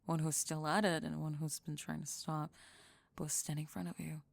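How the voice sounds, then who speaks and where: A woman acts out a cool teenage bully girl who has turned honest. Her voice is low with plenty of fry, recorded close and dry. She speaks bluntly, with wry, deadpan teasing, in an audio roleplay. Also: moderate pace, no echo. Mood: sincere. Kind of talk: ASMR audio roleplay acting.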